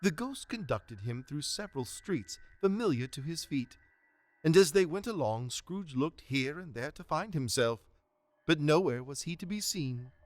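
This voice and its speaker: Casting: male narrator, lighter-pitched, very expressive range, dramatic storybook reading, dry sound. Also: moderate pace, no echo.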